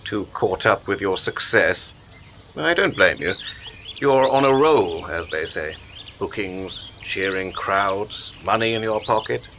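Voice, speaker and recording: Man playing smooth political patron, low pitch, very lively intonation, measured and rhetorical, coaxing, radio play, some background noise. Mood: reflective, needling. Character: smooth persuasive male political patron, grandly philosophical. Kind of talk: radio drama acting.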